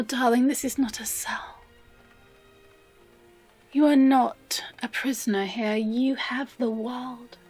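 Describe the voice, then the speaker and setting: A woman playing an obsessive, possessive librarian captor in a dramatic audio roleplay, breathy and often whispering, with theatrical pauses and a tenderly menacing manner. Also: fairly high pitch, a little mellow. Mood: coaxing.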